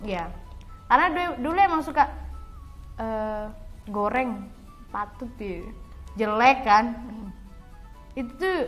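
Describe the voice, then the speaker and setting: A woman's voice: fairly high pitch, slow, pauses often, rough recording. A young woman from Java, co-hosting a casual, informal chat in which she explains words to viewers. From Java, Indonesia. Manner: informal, addresses viewers as friends. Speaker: co-host of a language vlog. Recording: background music.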